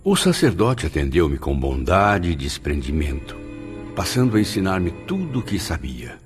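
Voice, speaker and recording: A man's voice: sits low, big pitch swings, moderate pace. An actor playing a male spirit who solemnly recalls his past life in a radio drama. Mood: solemn, reminiscent. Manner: solemn, theatrical first-person storytelling. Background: music.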